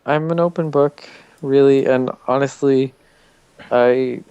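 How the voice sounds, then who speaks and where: Man, nasal, gravelly, dry close sound, reflective casual interview guest. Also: medium pitch, steady tone, takes it slowly, warm tone, no room sound, lo-fi sound. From Canada. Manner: open, reflective, frequent ums and restarts.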